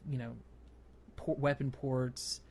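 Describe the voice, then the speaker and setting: A man hosting a casual gaming podcast, talking in a halting way as he thinks aloud. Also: medium pitch, little pitch movement, a little mellow. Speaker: podcast host.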